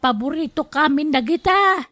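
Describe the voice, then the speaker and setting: A woman acting a frail, stubborn elderly grandmother in a radio drama, with a drawn-out, complaining delivery. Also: sits high, animated, pushed and bright. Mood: grumbling.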